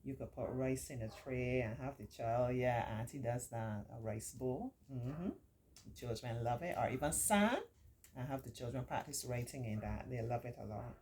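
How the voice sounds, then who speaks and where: Low-voiced middle-aged woman, encouraging storytime host. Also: normal speed, darkish tone, slight background noise. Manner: encouraging, reassuring, repeats points for emphasis. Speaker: children's storytime host and remedial tutor. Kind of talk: read-aloud story with warm, informal teaching asides.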